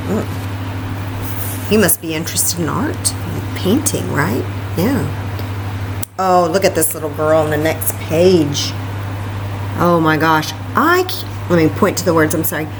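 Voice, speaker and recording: Woman, library story time host, animated swooping pitch, chatty, exclaiming, talking to small children, noisy ambient recording. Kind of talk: read-aloud story time talk to young children.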